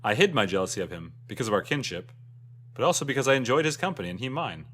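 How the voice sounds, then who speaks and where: A man voicing an ageless, wistful immortal who tells a first-person fantasy tale in a solemn, measured way. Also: medium pitch, very animated, a bit bright. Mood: wistful, admiring. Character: ageless, wistful immortal recounting an old jealous friendship.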